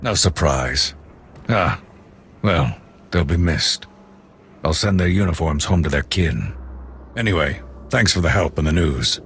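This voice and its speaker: A deep-voiced outpost commander. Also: male voice, lively intonation, a little slow, pauses often, slight background noise, full character voice. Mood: gruff. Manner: blunt, dry, matter-of-fact. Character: gruff male outpost commander, blunt veteran. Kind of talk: video game cutscene.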